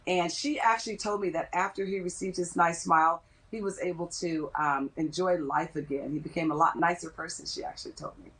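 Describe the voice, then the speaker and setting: Lower-pitched woman explaining as an expert. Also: fairly even intonation, brisk, few pauses, a bit bright, poor audio. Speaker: dentist interviewed as expert guest. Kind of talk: explanatory TV interview.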